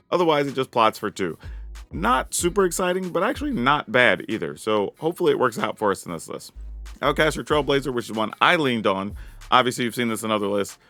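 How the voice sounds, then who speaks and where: A man with a raspy voice giving an upbeat, casual rundown of his card game deck as a channel host. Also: fairly low pitch, sharp tone, no room sound. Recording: dry close mic with faint music.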